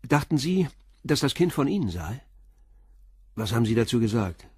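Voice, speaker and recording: An actor playing a calm, probing police inspector in a radio play, questioning a suspect in a measured, polite way with a husky voice. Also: male voice, mid-range voice, no room sound, clean studio sound. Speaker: detective.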